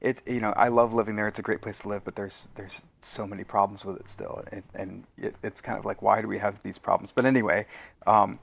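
Low, creaky-voiced man chatting casually. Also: fairly even intonation, brisk. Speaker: podcast guest, audio drama co-creator. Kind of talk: casual interview chat.

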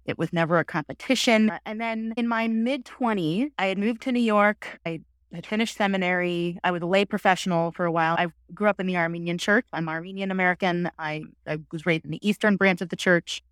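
Earnest, expressive woman guest. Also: a little fast, clear, resonant tone, no room sound. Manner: earnest and expressive, with lively pitch jumps. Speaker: guest, priest. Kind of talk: conversational interview storytelling.

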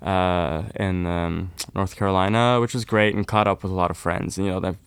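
A young man from Norway, a folk musician, chatting casually and warmly in an interview, with lots of "kind of" and "like". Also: low-pitched, fairly quick, smooth, no room sound. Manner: relaxed, friendly, uses fillers like "kind of, like".

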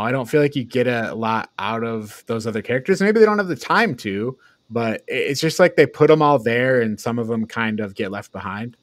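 A man chatting casually as a podcast co-host, haltingly thinking aloud through a review. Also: medium pitch, dry recording.

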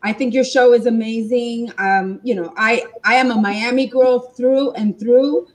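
A Cuban-born woman speaks as an interview guest, telling stories casually and quickly with a few restarts. Her voice sits a little high. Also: fairly even intonation. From Cuba.

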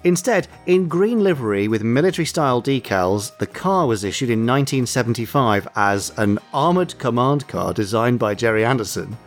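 A man's voice: medium pitch, expressive, normal speed, hardly any pauses, soft background music. A man hosting a podcast, telling trivia in a chatty, playful, bantering way. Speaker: podcast host. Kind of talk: chatty trivia segment, semi-scripted storytelling.

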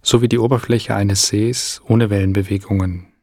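Low, husky man guiding a meditation. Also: no room sound, studio quality. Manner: calm, soothing, measured with long pauses. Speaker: guided relaxation narrator. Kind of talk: guided meditation, scripted narration.

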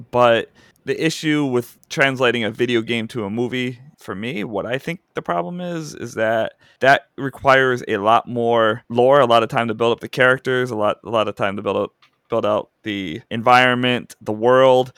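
A man's voice: mid-range voice, a bit bright, dry recording, studio quality. A man co-hosting a podcast, giving his opinions on movies in casual, wry chat. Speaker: podcast co-host.

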